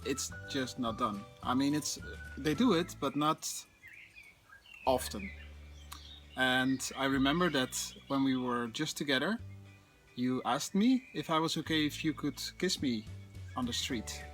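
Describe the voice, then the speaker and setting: A man's voice: mid-range voice, lively intonation, a little slow, a bit bright. Dutch-accented young man, music behind. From Netherlands. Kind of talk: casual chat to camera. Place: outdoor patio by a wooden fence.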